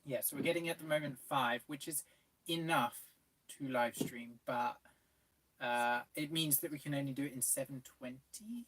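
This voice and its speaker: A man's voice: mid-range voice, normal speed, a bit bright, husky, poor audio. A man in his twenties chatting casually on a live stream and answering viewer questions. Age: 20s. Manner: easygoing, reads viewer questions. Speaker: co-host.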